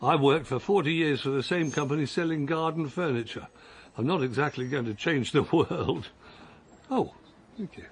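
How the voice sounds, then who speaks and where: A man's voice: on the high side, normal speed, breathy edge. An actor playing a mild, self-deprecating retired man on a long walk, hesitant and chuckling as he talks. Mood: reflective. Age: older. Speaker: lead character. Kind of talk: radio drama acting.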